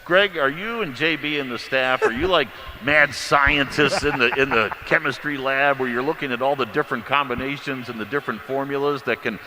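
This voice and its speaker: Bright-voiced male sports broadcaster. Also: mid-range voice, a little fast, few pauses, slight background noise, low-quality recording. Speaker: radio sports broadcaster on a panel. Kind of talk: casual sports broadcast chat.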